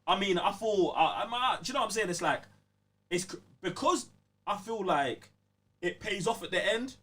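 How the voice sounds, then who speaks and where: London guy on a gaming podcast, lively up and down voice, slangy, sitting back from the mic. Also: high voice, moderate pace, distant mic. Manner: slangy, emphatic, repeatedly checking the listener follows. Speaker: podcast co-host. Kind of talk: casual game review chat.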